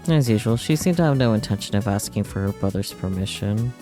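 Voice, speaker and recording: Male game streamer, low voice, narrates story text and drops wry casual comments over prominent music. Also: animated, a little fast, warm tone. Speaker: streamer playing a visual novel. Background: video game music.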